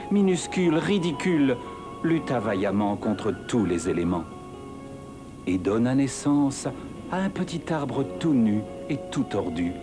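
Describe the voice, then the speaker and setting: A grandiloquent cartoon storyteller with a mid-pitched, very varied voice. He recites lyrically over clear background music. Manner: poetic, grandiloquent. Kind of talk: dramatic storybook narration in cartoon dub.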